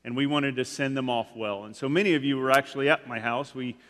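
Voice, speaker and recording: A man's voice: medium pitch, fast talker, a bit bright. A man in his forties, a guest speaker giving a casual, rambling sermon in a church, full of "like" and restarts. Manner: rambling, frequent "like" fillers and restarts. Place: church sanctuary. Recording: long hall reverb.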